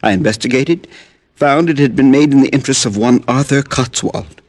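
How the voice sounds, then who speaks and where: Male actor as sick tired farmer, slightly husky, halting, polite, desperate, close dry sound, old-time radio. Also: medium pitch, a little mellow, no room sound, natural voice. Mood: grave, earnest. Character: weary, sickly, desperate male farmer from Haiti. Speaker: actor in a supporting role. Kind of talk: 1940s-50s radio drama acting.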